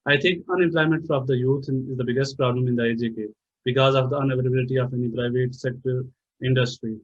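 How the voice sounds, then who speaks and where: A man from Kashmir, a doctoral student, giving a plain, steady prepared statement. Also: medium pitch, fast, no room sound, muffled recording.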